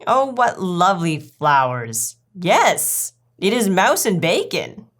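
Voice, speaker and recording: A woman's voice: on the low side, big pitch swings, slow, exaggerated voice. A prim and houseproud lady cat from a storybook, performed by a woman reader. She speaks with fussy, genteel courtesy, every phrase formal and proper. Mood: effusively polite.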